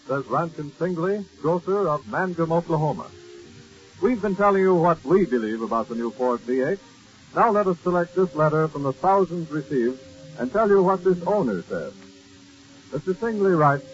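A man working as a radio announcer, reading scripted narration and sponsor messages in 1930s broadcast style. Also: on the high side. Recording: dull 1930s radio broadcast, faint music.

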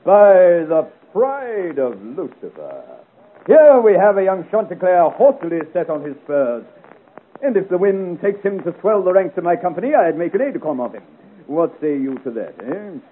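Swaggering high-pitched highwayman captain, tinny old recording. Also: very animated, normal speed. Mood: gloating, mocking. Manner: florid, sneering, theatrical speech with mock gallantry. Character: swaggering, mocking villain, captain of highway cutthroats. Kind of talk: 1950 radio serial acting. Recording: tinny, hissy old 1950 radio recording.

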